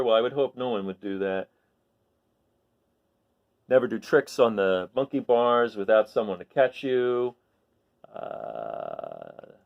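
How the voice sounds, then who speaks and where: A man reading a children's picture book aloud expressively, pausing for asides and questions to his listeners. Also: mid-range voice, smooth, muffled recording. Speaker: read-aloud storyteller.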